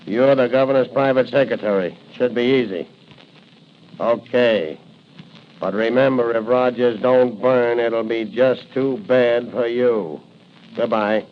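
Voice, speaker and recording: A man's voice: medium pitch, a little slow, lightly acted. Man playing a sneering radio gangster, muffled vintage sound. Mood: threatening, bossy. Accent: American. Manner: tough slangy talk, sneering. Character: menacing male gangster, cocky and threatening. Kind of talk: 1940s radio acting. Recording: dull, noisy 1940 radio recording.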